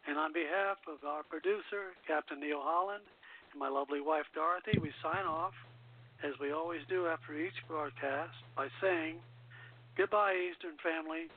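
A man hosting a radio show, talking in a sign-off and chat style over a phone-line connection. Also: on the high side.

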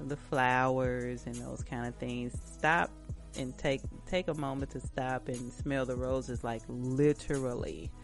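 A woman hosting a casual self-care talk, chatting directly to her listeners in an encouraging, repetitive way, a little stuffy-nosed from allergies. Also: rumbling low. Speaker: host.

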